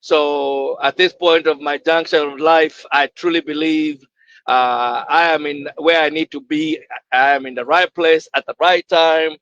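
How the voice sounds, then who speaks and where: A man's voice: on the high side, fairly even intonation, unhurried, fairly bright tone. Upbeat man hosting a livestream. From Kenya. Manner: exuberant, repetitive, preacher-like cadence. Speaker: host. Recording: dull lo-fi livestream audio.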